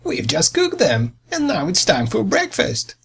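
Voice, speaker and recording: A man voicing a brave, defiant little male pig in a storybook tale, raspy and resolute. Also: high-pitched, wildly swooping pitch, unhurried, fairly bright tone, voice pushed up, full character voice. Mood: cheerful.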